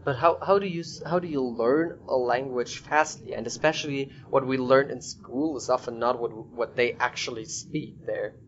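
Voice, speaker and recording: A man's voice: medium pitch, normal speed, few pauses, a little hiss or hum. A young man hosting a casual interview, speaking in a direct, informal way. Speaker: podcast host, interviewer. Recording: dull, lo-fi audio.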